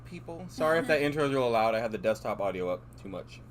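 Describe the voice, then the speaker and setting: A man with a booming, loud voice chatting casually and in a laid-back, slangy way as co-host of a livestream. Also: expressive, fast talker. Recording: clearly noisy livestream audio.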